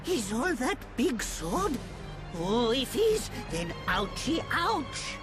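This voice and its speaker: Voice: mid-range voice, big pitch swings, slow, over music. An actor voicing a small, timid, furry creature who speaks in childlike broken grammar and calls itself by name, in a video game cutscene. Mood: startled.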